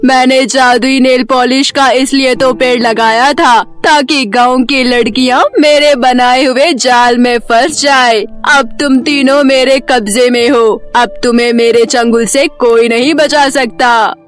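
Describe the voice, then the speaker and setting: Slow, menacing wicked sorceress voiced by a woman. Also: high-pitched, fairly even intonation, soft background music. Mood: gloating, menacing. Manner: menacing, boastful, theatrical. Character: wicked, gloating sorceress who preys on village girls. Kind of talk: Hindi animated fairy-tale voice acting.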